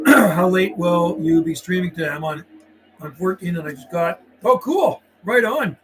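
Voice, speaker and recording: A man's voice: high-pitched, lively intonation, a little slow, fairly bright tone, a little hiss or hum, low-quality recording. A man working as a CB radio operator on a livestream, mixing radio calls with laid-back, friendly, slangy chat. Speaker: CB radio operator and live streamer.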